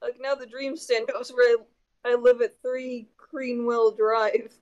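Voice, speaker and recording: A woman's voice: fairly high pitch, flat delivery, measured pace, a little mellow, dull audio. A young woman casually reading comic lines aloud in a group dub. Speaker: amateur comic dub voice actor.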